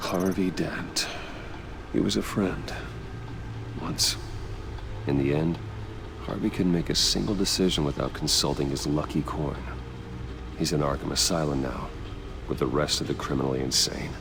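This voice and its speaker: A guarded game vigilante, super low and gravelly, terse broody delivery. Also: male voice, fairly even intonation, normal speed, background noise. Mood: businesslike. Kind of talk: video game voice acting. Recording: music behind the voice.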